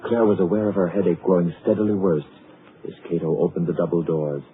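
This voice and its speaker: A man narrating an old radio thriller in a grave, measured scripted delivery. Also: low-pitched, fairly even intonation, close to an everyday voice. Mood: ominous. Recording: tinny 1940s radio broadcast with music bed.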